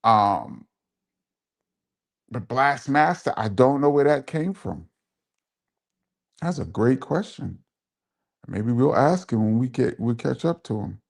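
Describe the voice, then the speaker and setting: Guy dj doing a casual live chat, reading comments, giving shout-outs, middle-pitched voice. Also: animated, slow pace, vocal fry, pauses often. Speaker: live stream host and DJ.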